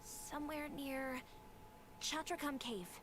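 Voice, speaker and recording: A woman's voice: high voice, slow, background noise. A woman playing a helpful, slightly hesitant townswoman in a fantasy video game.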